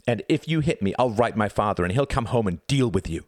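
A man's voice: on the low side, expressive, fairly quick, dry recording, clean studio sound, pitched above the performer's own voice. A man voicing a haughty, defiant young boy with pompous, precocious phrasing in a story reading. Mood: outraged.

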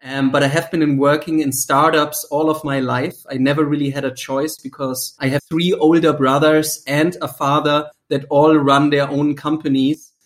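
A man in his thirties with a German accent, a startup founder answering podcast interview questions in a measured, articulate way. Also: on the high side, little pitch movement.

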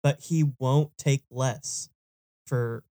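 Mellow-voiced man co-hosting. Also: mid-range voice, steady tone, very slow. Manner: brief agreeing replies. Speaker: co-host. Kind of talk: casual talk show chat.

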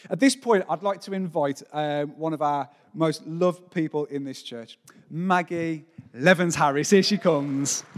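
A man's voice: a little high, unhurried. A man preaching as pastor to his church congregation, earnest and jokey as he introduces a guest. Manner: earnest, lively, jokes with the congregation. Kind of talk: church sermon, introducing a speaker. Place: large church hall. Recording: church hall with long reverb.

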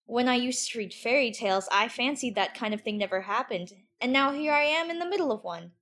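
A curious, chatty little girl, acted by a woman, with a high voice. She is polite and a little prim, thinking aloud to herself in a storybook manner. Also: animated, a little fast, fairly bright tone. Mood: wistful, musing. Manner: musing, prim, talking to herself. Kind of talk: audiobook character acting.

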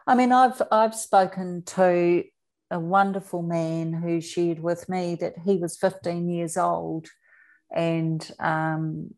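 A woman with a New Zealand accent speaking earnestly as an interview guest, deliberate and emphatic, repeating words for weight. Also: fairly low pitch, a little mellow. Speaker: interview guest, author and abuse survivor advocate.

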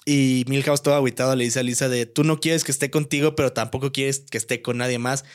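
A young man with a Mexican accent chats as a podcast co-host. His voice sits at a middle pitch. He speaks informally, using everyday slang. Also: fairly even intonation, fast talker. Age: young adult. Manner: slangy, colloquial. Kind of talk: casual chat.

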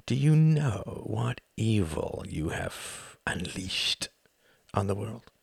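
A man voicing an older, cruel and mocking male Nazi count who shouts and cackles. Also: lowish voice, big pitch swings, takes it slowly, creaky, slightly rough, no echo, studio quality, full character voice. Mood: sneering.

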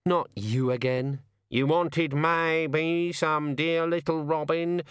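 A man's voice: fairly high pitch, steady tone, slow, clean tone, dry recording, clean studio sound, voice pushed up. A man voicing a pestering, wisecracking talking raven in an audiobook. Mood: wheedling. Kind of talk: audiobook character voice.

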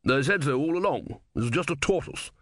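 Man reading petulant bickering philosophers, high excited voices, dry close sound. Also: brisk, no room sound. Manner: petulant and squabbling. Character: squabbling grown male philosophers, petulant bettors.

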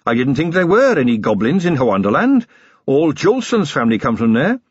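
A man voicing an earnest, commanding male police captain who talks briskly, from a fantasy audiobook. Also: mid-range voice, sing-song, slightly rough, no echo, highs cut off, pitched above the performer's own voice.

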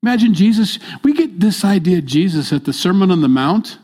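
An older man preaching a midweek sermon in a church, stressing his points by repeating them and moving between loud and soft delivery. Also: high voice, expressive, a little fast. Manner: emphatic, repeats key phrases, swings between loud and soft. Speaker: pastor.

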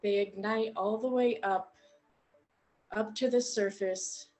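A woman guiding a meditation in a gentle, unhurried way, leaving long pauses between short phrases. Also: medium pitch, steady tone. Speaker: meditation guide. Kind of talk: guided meditation narration.

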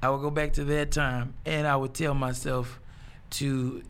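Gravelly, reflective man in his thirties. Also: medium pitch, little pitch movement, a bit bright. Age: 30s. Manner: reflective, halting, with many restarts. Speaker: guest songwriter. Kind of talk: casual interview.

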